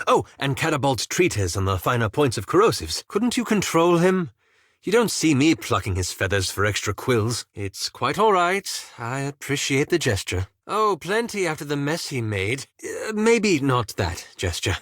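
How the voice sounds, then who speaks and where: An actor voicing a prim, bookish elf wizard in a fantasy video game, speaking formally and precisely with dry sarcasm. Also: male voice, mid-range voice, sing-song, normal speed, a bit bright, no room sound. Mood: wry, exasperated.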